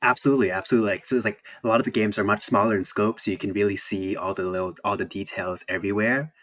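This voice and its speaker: A man's voice: fairly low pitch, steady tone, rapid-fire, fairly bright tone. A young man from Thailand, an indie game developer, chatting casually as an interview guest, with many fillers and restarts. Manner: hesitant, many fillers and restarts, laughs easily.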